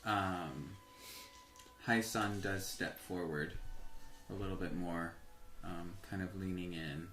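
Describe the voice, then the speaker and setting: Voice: low-pitched, steady tone, slow pace, long pauses, fairly bright tone, soft background music. A game master narrating a tabletop game in a casual, conversational way. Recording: echoey room with faint background noise.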